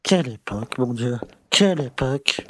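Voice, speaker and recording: An actor playing a pompous, grumbling conservative small-town mayor who lectures and scolds in a French audio drama. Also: male voice, mid-range voice, expressive, normal speed, fairly bright tone, no echo.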